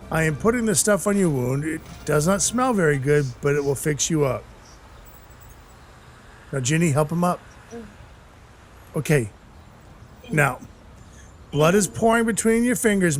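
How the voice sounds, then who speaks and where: A man's voice: fairly high pitch, a little hiss or hum. A man playing a brash, wisecracking dwarf who is Santa's helper, narrating and acting in an audio play with blunt, wry phrasing. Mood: urgent, blunt. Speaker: narrator and lead character.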